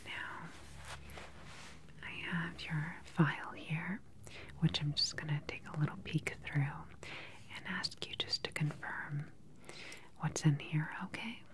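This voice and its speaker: A woman in her thirties whispering gently and methodically in an ASMR roleplay as a calm research doctor questioning a patient, close to the microphone. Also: deep voice, slow pace, long pauses. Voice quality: breathy, unvoiced whisper.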